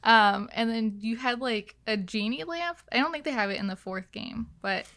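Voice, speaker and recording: Young woman on a podcast, high bright voice, giggly, just chatting and telling stories. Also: moderate pace. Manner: giggly, laughs through her words. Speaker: podcast guest. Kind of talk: casual chat, storytelling.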